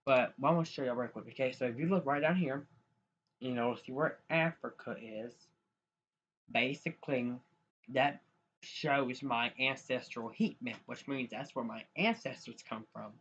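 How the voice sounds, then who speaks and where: A man's voice: medium pitch, normal speed, fairly bright tone. Young american man, casual screen-share vlog, rambling, says y'all, dull lo-fi mic, faint hiss. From United States. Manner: rambling, restarts often, addresses viewers as y'all. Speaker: YouTuber.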